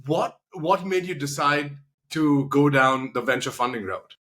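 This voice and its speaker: Higher-voiced man hosting a podcast interview. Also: measured pace, fairly bright tone. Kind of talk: conversational startup podcast interview.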